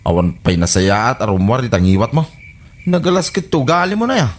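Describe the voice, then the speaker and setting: A man's voice: mid-range voice, big pitch swings, brisk, natural voice. A man with a Filipino accent playing a defensive, exasperated husband in a comedy radio drama, full of protests and exclamations. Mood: defensive, irritated. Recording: music behind the voice.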